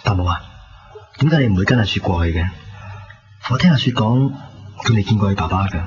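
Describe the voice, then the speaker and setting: A man with a Hong Kong Cantonese accent playing a quiet, melancholy young man in love in a radio drama. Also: fairly low pitch, expressive, a little fast, background noise, lightly acted. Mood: polite, subdued. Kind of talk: 1990s radio drama acting. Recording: dull lo-fi radio recording under loud music.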